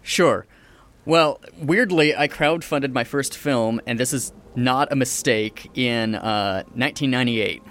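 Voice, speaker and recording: An American man in his forties, talking as an indie film producer guest. He speaks at a middle pitch and in quick, energetic bursts, restarting his phrases as he goes. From United States. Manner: quick, energetic storytelling with repeats. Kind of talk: casual interview chat.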